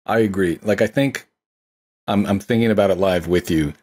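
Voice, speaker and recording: Lowish raspy guy chatting movies on a podcast, into it and agreeable. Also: moderate pace, a little mellow. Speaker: podcast co-host discussing films. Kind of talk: casual film discussion chat.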